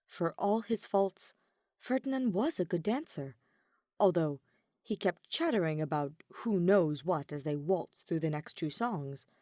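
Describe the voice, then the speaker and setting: A woman's voice: on the low side, animated, a little slow, dark tone, dry recording, clean studio sound. A woman reading scripted fiction aloud as a story narrator.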